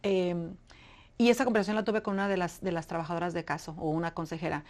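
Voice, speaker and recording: A woman's voice: lowish voice, steady tone, fast talker, darkish tone. A middle-aged woman, a guest in an interview, tells her personal story in an earnest, emotional way, often stopping to search for words.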